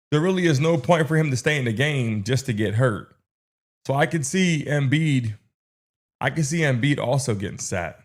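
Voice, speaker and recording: A man hosting a live sports stream, casually hyping up viewers in slang and repeating his phrases. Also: medium pitch. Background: game broadcast and commercials.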